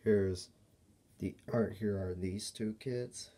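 Guy with a lowish mellow voice doing a casual toy show and tell, kinda halting. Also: fairly even intonation. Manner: halting, broken phrasing. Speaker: toy reviewer. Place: indoors at a table by a window.

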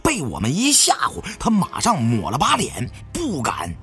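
A man narrating a suspense novel in the first person with expressive, dramatic storytelling. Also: fairly high pitch, moderate pace, soft background music. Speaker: audiobook narrator.

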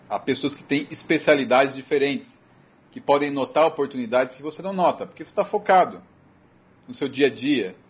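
Male technical speaker, Brazilian Portuguese accent, mid-pitched husky voice, explanatory and halting presentation delivery. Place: auditorium.

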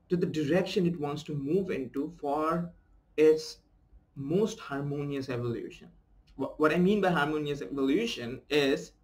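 A young adult man from India, a native Bengali speaker with an Indian English accent, giving an earnest, enthusiastic self-help talk as a life coach and often checking in with "okay?". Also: on the high side. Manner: earnest and enthusiastic, tags sentences with a questioning "okay?". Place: small room at home, indoors, seated in a desk chair.

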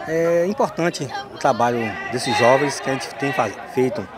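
A man's voice: mid-range voice, normal speed. Man in his 40s, earnest coach, hesitant, crowd noise from a football ground behind him. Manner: earnest, hesitant with many fillers. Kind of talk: outdoor interview. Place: stadium stands outdoors. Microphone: handheld mic. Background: crowd at a youth football match.

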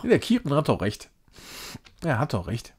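A man's voice: medium pitch, wildly swooping pitch, moderate pace, husky. A man giving casual live game commentary as a streamer, thinking aloud in short muttered phrases. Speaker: streamer playing a retro puzzle game.

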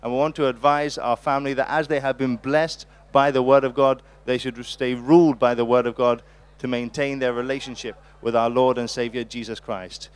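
A man with a medium-pitched voice works as a church interviewer. His wording is formal and measured. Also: flat delivery, clean tone.

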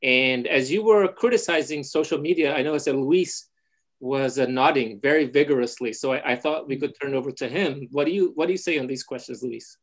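A man moderates a panel over a video call at a middle pitch. He speaks politely and steers the conversation, passing the floor to the next guest. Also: a little fast. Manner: polite, guiding.

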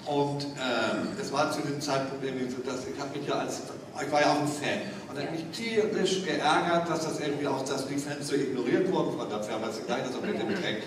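A man's voice: fairly high pitch, fairly quick, few pauses. A man talking casually on a stage panel, opinionated and rambling as he goes. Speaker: panel guest from audio drama production. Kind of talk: casual, unscripted panel discussion. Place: stage in a large convention hall. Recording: echoey hall from far off, noisy.

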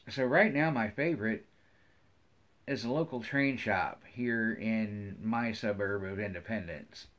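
A middle-aged man with an American accent chats casually to viewers as a hobby vlogger, rambling in a folksy way and often repeating his phrases. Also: on the low side. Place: wood-paneled room at home, indoors.

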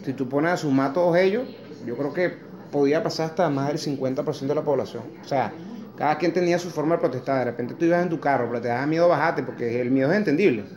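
A man's voice: a little high, fast talker, a little mellow. A man in his forties with a Venezuelan accent, recording an angry, blunt opinion video to camera at home, swearing and mocking with sarcastic asides. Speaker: vlogger. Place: kitchen at home indoors. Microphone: phone camera held close. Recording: echoey room on phone.

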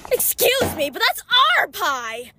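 A woman playing a vain, excitable teenage fairy girl in a cartoon fandub, with a theatrical, bossy manner. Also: sits high, a little slow. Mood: indignant. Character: bubbly, vain teenage fairy girl, fashion-mad and feisty.

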